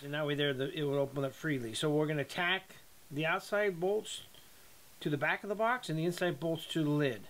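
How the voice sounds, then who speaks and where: A middle-aged man giving a casual tutorial from his home metal workshop, plain-spoken and relaxed, with ums and restarts. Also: a little high, brisk. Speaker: hobby welder teaching a project.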